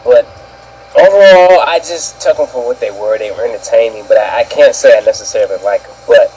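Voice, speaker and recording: A man's voice: mid-range voice, brisk, a little mellow, low-quality recording. A man giving his opinions as a panelist in casual, colloquial talk, often quoting his own reactions. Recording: background ambient noise.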